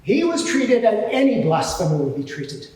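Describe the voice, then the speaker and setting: A man playing a haughty, evasive high priest on the witness stand in a live courtroom drama, speaking formally and emphatically in his own defence. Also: very animated, normal speed, darkish tone. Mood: evasive, dismissive. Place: large reverberant courtroom, live event. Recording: very echoey hall, distant microphone.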